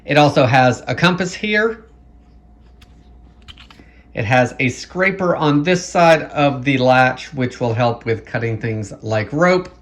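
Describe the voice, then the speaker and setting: A man's voice: mid-range voice, animated, slow pace, fairly bright tone. A man giving a casual product review, explaining features plainly, step by step.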